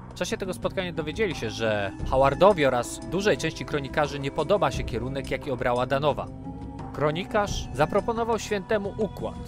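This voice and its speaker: Male game master, scripted recap narration, steady storytelling, music bed. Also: a little high. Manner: even, storytelling.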